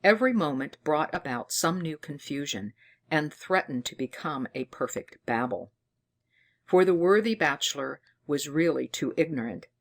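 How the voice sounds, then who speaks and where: A narrator reading a fairy tale in an expressive, theatrical storytelling manner. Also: mid-range voice.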